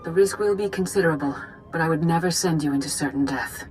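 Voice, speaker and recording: Low-voiced, composed female Jedi Master played by a woman. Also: over music, natural voice. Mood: grave, resolute. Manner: calm, formal, measured. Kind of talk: video game voice acting.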